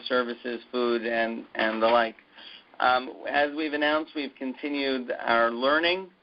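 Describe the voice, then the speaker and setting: Halting man with a gravelly, creaky voice. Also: mid-range voice. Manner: halting, hesitant, frequent filler pauses. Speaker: university president. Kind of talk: conference call update, semi-scripted announcement. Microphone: conference phone call. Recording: dull muffled conference call audio.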